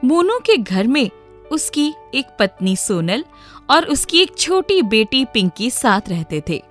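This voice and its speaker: A woman's voice: sits high, very animated, takes it slowly, soft background music. A woman reading a scripted children's moral story as its narrator.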